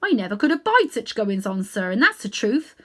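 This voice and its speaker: A woman's voice: medium pitch, big pitch swings, a little fast. A woman reading aloud and voicing a humble, earnest male cab driver with a London Cockney accent, who speaks in a deferential, folksy way.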